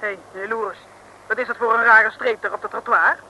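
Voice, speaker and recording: A woman's voice: on the high side, steady tone, fairly quick, pushed and bright, rough recording. An actress playing a cheeky young female sidekick to a detective, chirpy, teasing and coaxing, in a vintage comic radio serial. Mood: inquisitive. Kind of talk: 1950s-60s comic radio serial acting. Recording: hissy old radio recording.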